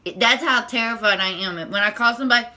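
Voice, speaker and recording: A woman speaking as a spiritual tarot vlogger, with a squeaky voice, talking in a nervous, halting way full of restarts and repeated words. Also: pushed and bright. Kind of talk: unscripted spiritual monologue to camera.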